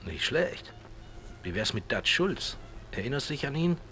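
Smooth, charming gangster boss. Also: male voice, medium pitch, sing-song, moderate pace, bright, forceful tone, soft background music. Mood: smooth, evasive. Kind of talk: German radio play dialogue.

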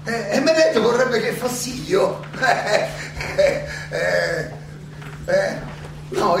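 A distant, very echoey recording with clear background noise carries an old Athenian philosopher on trial. His voice is high for a man and gravelly. He speaks in a theatrical monologue, hesitating and repeating himself with wry irony. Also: exaggerated voice. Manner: halting, hesitant, ironic, with repetitions and chuckles. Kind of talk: theatrical stage monologue, conversational oratory.

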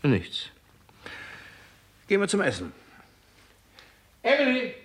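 A man's voice: sits high, wildly swooping pitch, takes it slowly, fairly bright tone, full character voice. A man acting as a jovial, needling tavern character with a theatrical, teasing delivery in a 1960s German radio crime play. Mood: sly, probing.

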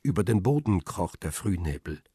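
A man narrating a radio crime drama in the first person, calm and reflective, with a husky voice. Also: low-pitched, moderate pace, no echo, clean studio sound.

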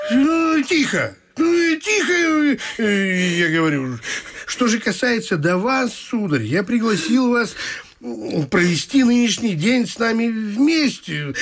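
A man's voice: high-pitched, noisy background, heavily acted. A man playing a stubborn, honourable middle-aged father in a classic comedy radio play, fussy and theatrical. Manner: repeats a pet phrase, insistent, theatrical. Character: stubborn honourable father in his forties, fussy but soft-hearted. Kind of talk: classic stage-comedy radio play acting, some sung verse. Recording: music behind the voice.

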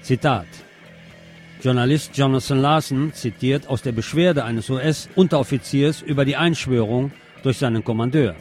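A man's voice: medium pitch, normal speed, darkish tone, soft background music. A man presenting scripted news commentary as a radio presenter, with pointed, sardonic phrasing.

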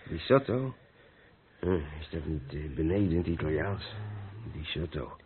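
An actor playing a seasoned truck driver in a Dutch radio drama, bossy and grumbling but good-natured, with a hearty, chatty manner. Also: male voice, very deep voice, animated, normal speed. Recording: narrow phone-like old radio recording, noisy.

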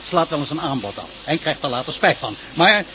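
An actor playing a brusque, money-minded newspaper boss in a radio play, brisk and dismissive. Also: male voice, fairly high pitch, very animated. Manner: brisk, dismissive, barking orders. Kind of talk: radio drama acting. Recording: noisy radio play recording.

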